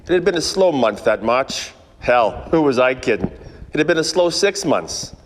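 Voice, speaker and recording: A man's voice: medium pitch, moderate pace, close to an everyday voice. Man playing world-weary private eye, echoey hall. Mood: weary, wry. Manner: wry, world-weary, deadpan. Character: weary, cynical male hard-boiled 1940s private eye. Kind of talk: noir radio play narration. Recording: echoey hall, background noise.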